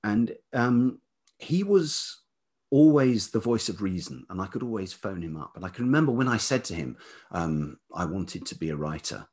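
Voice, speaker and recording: Low-voiced, husky older man, thoughtful. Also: lively intonation, a little mellow. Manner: hesitant, halting, thoughtful. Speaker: podcast guest, writer and musician. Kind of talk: reflective personal storytelling in an interview.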